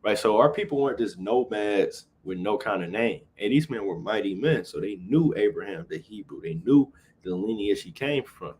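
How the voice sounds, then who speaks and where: A man's voice: lowish voice, animated, moderate pace, darkish tone, breathy edge, rough recording. A man teaching scripture informally on a livestream, reading verses aloud, then explaining them casually and tagging nearly every phrase with "right?". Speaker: Bible teacher.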